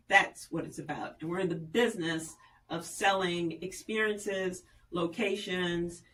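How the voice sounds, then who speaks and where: A woman's voice: mid-range voice, a bit bright, far from the mic. A woman from Virginia, a tourism official, speaking in a polished, promotional way as a guest on a radio interview.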